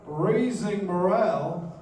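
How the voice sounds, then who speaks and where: A man playing a senior angel who patiently narrates a life story in a measured, explanatory way in a radio play. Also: sits high, animated, a little mellow. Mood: storytelling. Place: live stage in a dance hall. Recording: echoey dance hall, distant, faint music.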